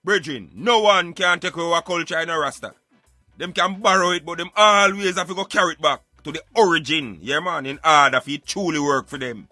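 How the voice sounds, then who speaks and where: Bright, forceful Jamaican man, emphatic. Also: fairly high pitch, brisk, no room sound, soft background music. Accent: Jamaican Patois. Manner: emphatic, preaching, argumentative. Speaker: radio show host. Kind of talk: opinionated talk show commentary.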